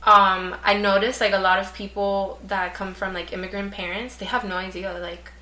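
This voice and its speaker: Bright-voiced young woman, chatty. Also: medium pitch, little pitch movement, normal speed, reverberant, rough recording. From United States. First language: Spanish. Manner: chatty, laughs often, many fillers. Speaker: guest artist. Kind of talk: casual podcast chat.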